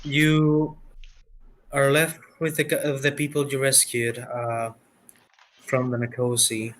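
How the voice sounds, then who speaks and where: A man running a tabletop game online as game master, casual and somewhat halting. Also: medium pitch, little pitch movement, fairly bright tone. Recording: background noise with mouse clicks.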